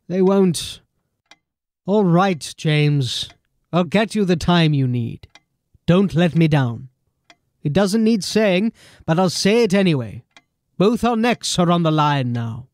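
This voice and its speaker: A man playing a stern, skeptical but loyal police captain in an audio drama, measured and admonishing. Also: high voice, lively intonation, no echo. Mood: reluctant, stern.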